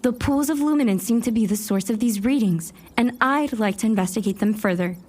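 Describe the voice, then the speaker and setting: A woman's voice: a little high, fairly quick, a little mellow, natural voice. A woman playing an eager, self-doubting warlock scholar in an audio drama, chatty and wry as she narrates her own thoughts. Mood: eager, earnest. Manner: wry, chatty inner monologue with muttered self-talk. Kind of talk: first-person audio drama narration and dialogue.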